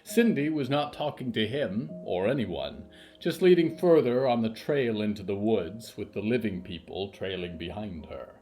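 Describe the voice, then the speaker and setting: A man's voice: lowish voice, lively intonation, moderate pace, a little mellow, soft background music. A man narrating a scripted fiction story as its storyteller.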